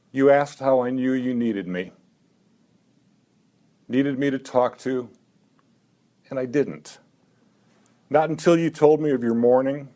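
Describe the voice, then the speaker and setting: A man in his seventies at a live poetry reading, talking haltingly between poems with dry, self-mocking asides and lifting his voice for the verse. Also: mid-range voice, warm tone. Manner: halting talk with many "uh" fillers. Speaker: poet.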